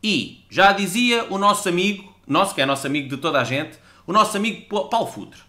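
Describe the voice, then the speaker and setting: Lively, bright-voiced Portuguese man ranting. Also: sits high, brisk. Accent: European Portuguese. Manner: animated, sarcastic, rambling. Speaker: podcast guest. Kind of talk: ranting monologue.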